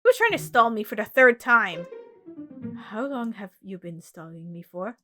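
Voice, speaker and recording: Woman doing an excitable, fretful male rabbit, lively pitch. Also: normal speed, soft background music, natural voice. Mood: indignant. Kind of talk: acted storybook fan-fiction reading.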